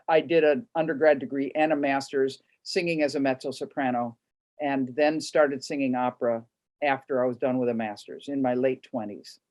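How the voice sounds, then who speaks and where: A woman opera singer on a panel, with a low speaking voice, telling her story in a relaxed, reflective way. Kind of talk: panel discussion.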